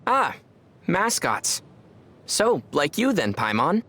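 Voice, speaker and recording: A man playing a curious, thoughtful young traveler in a video game, measured and gently wry. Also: a little high, big pitch swings, heavily acted. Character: young male traveler from another world, curious, mild and thoughtful. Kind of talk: scripted video game dialogue.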